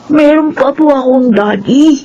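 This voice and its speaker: A woman's voice: high-pitched, unhurried, warm tone, dull audio, a little hiss or hum. A female performer with a Filipino accent playing a sweet, inquisitive, whiny young schoolchild in a drama. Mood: sweet, pleading.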